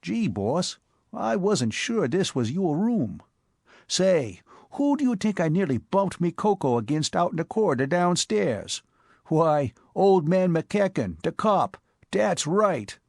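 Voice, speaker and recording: A cocky young Bowery crook rattles off slang in a thick New York accent, as a man puts on the voice. The voice sits well above the reader's usual pitch and bounces up and down, in a dry, close recording. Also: slow, no echo, exaggerated voice. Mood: excited. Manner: excitable slangy street talk. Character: brash streetwise young male Bowery crook.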